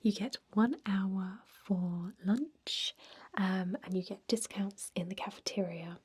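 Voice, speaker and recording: A woman playing a friendly, chatty old vampire job interviewer in a soft, breathy ASMR roleplay whisper. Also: mid-range voice, takes it slowly. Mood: friendly, cautioning. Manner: gentle, chatty, encouraging.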